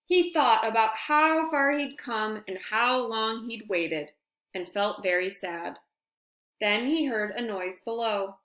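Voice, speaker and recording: A woman reading a picture book aloud to young children, with expressive, deliberate phrasing and friendly asides. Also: on the high side, roomy sound.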